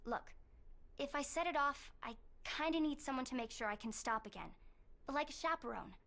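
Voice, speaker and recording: An earnest teenage schoolgirl from a video game cutscene. Her voice sits fairly high and. She talks in casual, hesitant bursts, awkward and self-deprecating. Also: moderate pace, creaky, fairly bright tone. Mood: nervous, wry.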